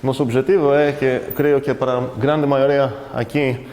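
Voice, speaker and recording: Lecturer with a foreign accent. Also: male voice, mid-range voice, little pitch movement, moderate pace, darkish tone. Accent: foreign accent in Portuguese. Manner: conversational, asks the class questions, self-correcting. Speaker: university math lecturer. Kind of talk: classroom lecture. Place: lecture hall. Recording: reverberant lecture hall with faint noise.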